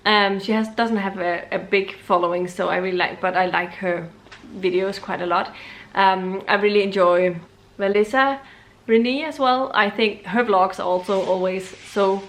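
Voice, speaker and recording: Danish woman vlogging, hesitant and slow. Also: medium pitch, fairly even intonation. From Denmark. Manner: hesitant, many ums and restarts, deliberately slow. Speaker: book vlogger. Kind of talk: casual chat to camera.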